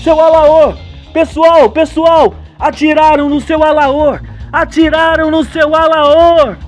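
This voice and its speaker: A man plays a panicked male prison guard in a radio soap. He shouts frantically for help, loud and pitched very high, with faint music behind. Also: fairly even intonation, takes it slowly.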